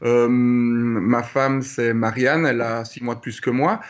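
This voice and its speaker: A man in his thirties from Liège with a Belgian French accent, a guest answering interview questions with many pauses and fillers. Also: mid-range voice, steady tone, muffled recording. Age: 30s. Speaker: guest, traveler. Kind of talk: remote interview.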